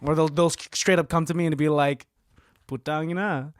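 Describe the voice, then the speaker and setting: A man's voice: on the high side, fairly even intonation, fairly quick, no echo, clean studio sound. A young man co-hosting a podcast, talking casually and candidly and laughing easily. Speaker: podcast co-host.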